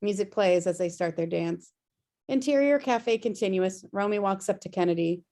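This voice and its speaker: A woman's voice: medium pitch, normal speed, warm tone. A woman narrating stage directions from a script during a table read on a video call.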